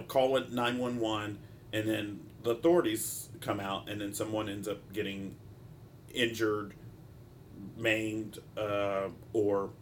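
A man with a stopped-up nose hosting a solo podcast in an informal, halting and discursive way, often drifting into asides. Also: on the low side, a little hiss or hum. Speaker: podcast host, mental health practitioner.

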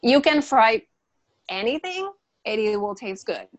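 A woman's voice: a little high, sing-song, measured pace, no echo. A woman whose first language is not English, explaining nutrition as a health coach, emphatic and repeating herself with frequent restarts and fillers. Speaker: natural health coach, podcast guest. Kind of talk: casual interview explanation.

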